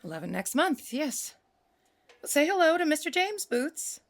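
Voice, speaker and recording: A woman's voice: high-pitched, sing-song, a little slow, full character voice. An actress playing a practical, kindly guesthouse keeper and mother in an audio drama, with matter-of-fact, obliging, naturalistic delivery. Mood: apologetic, motherly. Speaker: guesthouse landlady.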